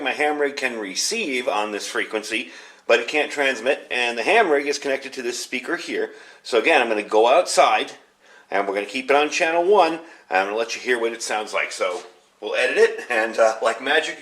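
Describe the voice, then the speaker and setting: A man's voice: medium pitch, expressive, fairly quick, fairly bright tone. A man giving a casual hands-on gear review indoors, explaining things in a rambling, informal way. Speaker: product reviewer. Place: indoors at home.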